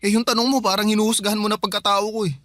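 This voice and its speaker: High-voiced, pleading young Filipino brother. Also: male voice, little pitch movement, racing, fairly bright tone, no echo, studio quality. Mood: defensive. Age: young adult. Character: hard-up younger brother, pleading, defensive, stubborn. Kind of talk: Filipino radio drama acting. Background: music bed.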